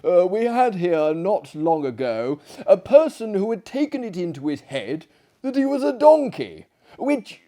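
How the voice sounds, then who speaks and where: Man acting an excitable tall gentleman, pitch leaping. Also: measured pace. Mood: animated relish. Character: tall, excitable French gentleman telling asylum anecdotes.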